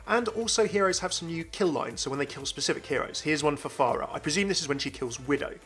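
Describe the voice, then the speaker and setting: A man's voice: on the high side, fast talker, a bit bright, soft background music. A man hosting a gaming channel, giving chatty, enthusiastic, informal commentary on a video game.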